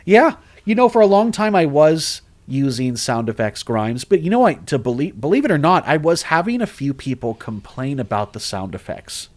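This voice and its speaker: A man who narrates horror stories, chatting casually on a livestream, rambling and animated, with lots of restarts. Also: a little high, normal speed, few pauses. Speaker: horror story narrator and streamer. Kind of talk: casual livestream chat reading comments.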